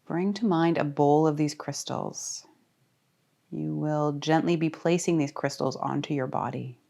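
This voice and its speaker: A woman leading a guided relaxation as a yoga teacher, speaking calmly and soothingly with deliberate pauses. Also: sits low, vocal fry. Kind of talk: guided meditation.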